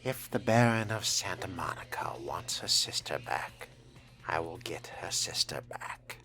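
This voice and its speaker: A man's voice: low voice, expressive, measured pace, in a whisper, exaggerated voice. A man voicing a suave, sardonic young vampire in character during a video game, courtly and wry. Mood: courteous, dry. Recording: loud music behind the voice.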